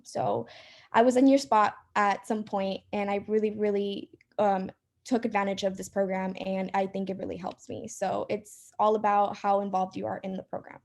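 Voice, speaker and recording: A woman's voice: medium pitch, creaky, a little mellow. A young woman from Cuba, president of a student program, introducing the board in a casual, friendly talk with many ums. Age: 20s. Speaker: club president. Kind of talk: casual presentation to a student group.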